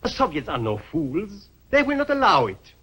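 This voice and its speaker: Stern european in an old film, grave insistent warnings, raspy, kinda high, lively. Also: male voice, moderate pace, exaggerated voice. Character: stern European man warning against rearmament.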